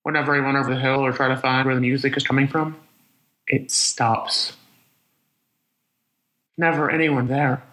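A man acting in a radio play as a lonely, weary survivor of a disaster, musing aloud in a halting, conversational monologue. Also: mid-range voice, little pitch movement, sharp tone, echoey room, lightly acted, poor audio. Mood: lonely, uneasy. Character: lonely, weary, reflective male survivor wandering after disaster. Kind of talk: dramatic monologue, spoken like an audio diary.

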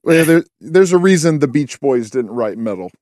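A male podcast host with a dark, mellow voice talks at length and gives blunt opinions. His sound is dry and close. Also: medium pitch, lively intonation, moderate pace, no echo. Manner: opinionated, talkative. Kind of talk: casual podcast chat.

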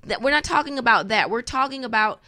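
A woman's voice: fairly high pitch, lively intonation, fast talker, sharp tone, no echo, studio quality. An American woman speaking as a panelist in a casual discussion, explaining her points and repeating key phrases for emphasis.

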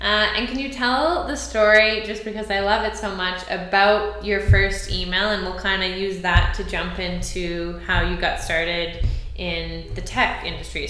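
A woman's voice: on the low side, a bit bright, slight background noise. A woman hosting a podcast, introducing her guest and asking him questions in an upbeat way. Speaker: podcast host. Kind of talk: interview hosting. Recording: echoey room.

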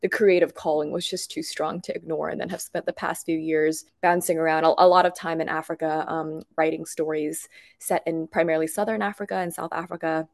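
Female interview guest, lower-pitched, expressive and chatty, personal storytelling, dry recording. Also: brisk, vocal fry, no echo. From Thailand, grew up in Bangkok.

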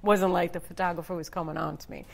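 A woman who works as a model, a guest telling a story in a candid, relaxed way. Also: fairly low pitch, fast talker, gravelly. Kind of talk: casual interview storytelling.

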